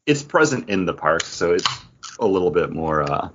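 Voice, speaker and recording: Male podcast co-host with a deep voice, explanatory and choppy phrasing, somewhat dull lo-fi sound. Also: sing-song, moderate pace, noisy background. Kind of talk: casual chat about theme park attractions.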